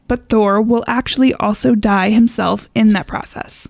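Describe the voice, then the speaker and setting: A woman's voice: mid-range voice, steady tone, normal speed, gravelly, a little mellow, dry recording, clean studio sound. A woman co-hosting a podcast in casual chat, speaking informally and wryly, often with a chuckle in her words. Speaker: podcast co-host.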